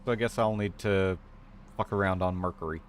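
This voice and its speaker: A man's voice: low-pitched, steady tone, a little fast, slight background noise. A man streaming a video game, switching between casual chat and dramatic readings of game lore.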